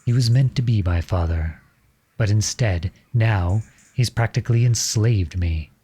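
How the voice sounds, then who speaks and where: A man's voice: lowish voice, unhurried, mellow, husky. A man playing an impassioned, defiant young prince turned soldier in a scripted audio roleplay, with an earnest, dramatic delivery. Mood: bitter, indignant.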